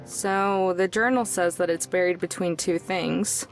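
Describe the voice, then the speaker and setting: A woman's voice: fairly low pitch, fairly even intonation, measured pace, low-quality recording. A woman playing a laid-back, dry young woman traveler in a visual novel. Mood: thoughtful. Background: music.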